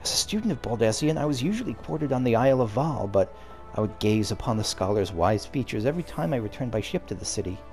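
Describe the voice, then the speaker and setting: A man reading aloud the lines of a calm, learned young female scholar guide from a game, in a measured, formal way. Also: medium pitch, soft background music.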